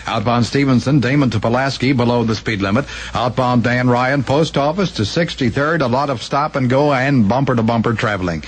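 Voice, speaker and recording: A man's voice: medium pitch, fairly quick, few pauses. A man reading a clipped radio traffic report in short phrases on a 1970s AM broadcast.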